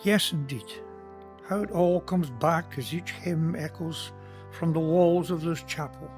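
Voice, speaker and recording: An actor with a Scottish accent playing a kindly, earnest Presbyterian minister in a community radio play, speaking in a measured, sermon-like way. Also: male voice, fairly high pitch, faint music behind, natural voice. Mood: nostalgic. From Scotland.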